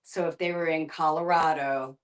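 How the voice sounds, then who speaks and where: A woman's voice: low-pitched, fairly even intonation, normal speed. A woman facilitating a casual group discussion, thinking aloud in a hesitant way.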